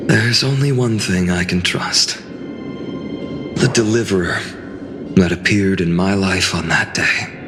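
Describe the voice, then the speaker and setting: A man playing an earnest, amiable young swordsman hero in a video game, expressive and thoughtful. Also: low voice, slow, long pauses. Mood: somber, pained. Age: young adult. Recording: long reverb over music.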